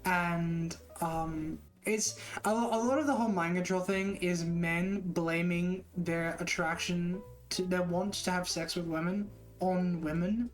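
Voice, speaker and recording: Low-voiced, slow-speaking woman, blunt and scornful. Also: low-quality recording. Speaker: co-commentator. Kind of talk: casual opinionated chat.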